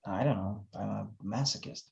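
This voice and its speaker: Man acting awkward young guy, gravelly edge. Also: on the low side, moderate pace, darkish tone, dull audio. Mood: sheepish. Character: Pete, awkward, sarcastic, lovesick young bar worker. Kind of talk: screenplay table read.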